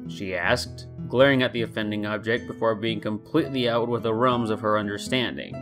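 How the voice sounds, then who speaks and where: A man's voice: on the low side, a little fast. A man reading scripted story narration as the narrator. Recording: guitar music behind the voice. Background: soft guitar music.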